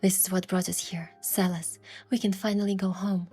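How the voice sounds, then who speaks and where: A woman voicing an excitable, playful young heroine in love, in a romance game with first-person storytelling. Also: mid-range voice, normal speed, breathy edge, lightly acted. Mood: happy. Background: music.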